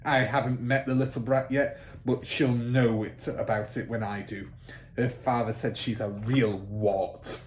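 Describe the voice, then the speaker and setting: A man's voice: mid-range voice, fairly even intonation, a little slow, slight background noise, natural voice. A man voicing a fierce, bullying middle-aged headmistress in a children's storybook, barking and snorting with scorn. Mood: gruff, assertive. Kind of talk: children's storybook character reading.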